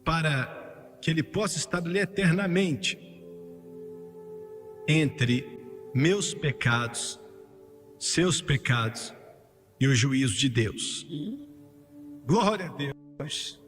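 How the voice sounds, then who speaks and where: Man, emphatic sermon delivery, builds to shouts, reverberant hall, faint music bed. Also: a little high, lively intonation, takes it slowly, long pauses. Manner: emphatic preaching that climbs to fervent, shouted peaks, with joking asides. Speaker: preacher. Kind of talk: sermon, voiced over in translation.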